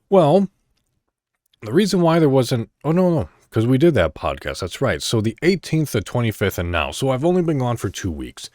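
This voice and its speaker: A man's voice: medium pitch, a little mellow, no echo, studio quality. An American man hosting a solo podcast, talking casually and candidly as he rambles and thinks aloud. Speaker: podcast host.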